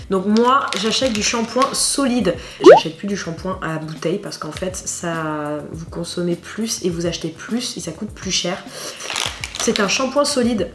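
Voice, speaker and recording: A French woman vlogging in a casual chat, hoarse and stuffed up from a cold, talking with many fillers and restarts. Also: mid-range voice. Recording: echoey room with faint noise.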